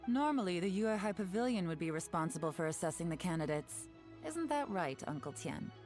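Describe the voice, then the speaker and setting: A composed female spy in a video game, calm, dry and quietly commanding. Her voice sits a little low. Soft music plays underneath. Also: a little fast.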